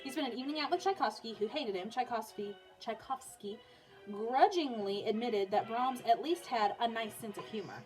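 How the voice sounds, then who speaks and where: Young woman, high voice, music teacher, informal read-aloud delivery for children, music in the background. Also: lively intonation, normal speed, rough recording. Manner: informal, often breaking off to soothe a small child. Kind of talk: reading a biography aloud for pupils, with casual asides.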